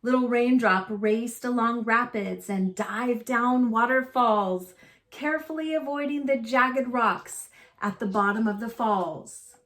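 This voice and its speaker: A woman reading a children's picture book aloud in a deliberate storytelling manner, phrased simply for young listeners. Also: a little high, a bit bright. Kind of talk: children's read-aloud narration.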